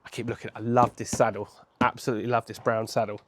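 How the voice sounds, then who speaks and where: Croaky man with a cold. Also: on the low side, level tone, fast, noisy background. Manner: rambling, self-correcting, chatty. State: hoarse from a cold. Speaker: motorcycle vlogger. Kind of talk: casual talk to camera. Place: outdoors on a home patio.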